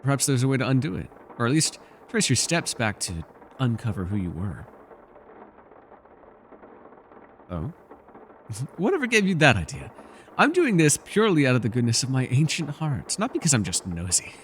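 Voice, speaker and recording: A man playing an ancient, wistful male elf in an intimate ASMR roleplay, telling things in a measured, gently teasing way. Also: mid-range voice, huge pitch swings, a little hiss or hum. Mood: playful, teasing. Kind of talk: ASMR character roleplay, intimate scripted monologue.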